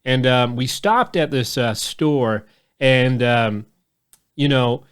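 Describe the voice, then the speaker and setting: A man's voice: mid-range voice, slow pace, a bit bright, clean tone. A man in his thirties hosting a podcast, talking in a loose, rambling way and swearing freely. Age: 30s. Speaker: podcast host. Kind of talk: casual podcast chat.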